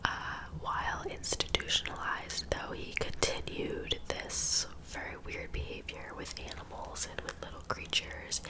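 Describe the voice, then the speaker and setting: Softly whispering woman, ASMR storyteller. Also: poor audio. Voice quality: soft, breathy unvoiced whisper. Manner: gentle, informal, confiding asides. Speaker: host of a true crime ASMR series.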